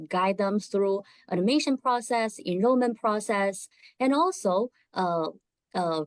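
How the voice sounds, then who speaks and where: A woman, an admissions director, introducing herself on an online panel, quick and hesitant with fillers. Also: medium pitch, no echo.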